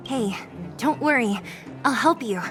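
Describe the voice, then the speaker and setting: A woman's voice: on the high side, a little slow, a bit bright, faint music behind. An actress voicing a tough, blunt young woman fighter in a video game, direct and casual but loyal. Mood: reassuring.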